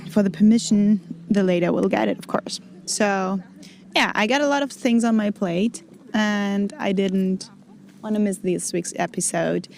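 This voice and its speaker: Woman with creaky, mellow, casual voice. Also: lowish voice, slight background noise. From Greece and Germany. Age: 40s. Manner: informal, halting word searches in non-native English. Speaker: freediving instructor and show host. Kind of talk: casual personal vlog talk.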